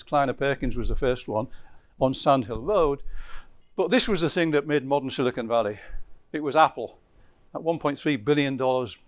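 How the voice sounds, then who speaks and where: A man's voice: fairly high pitch, dry recording. A man lecturing to a visiting group in a loose, conversational way, often correcting himself mid-sentence and stressing key points. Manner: rambling, self-correcting, lively emphasis.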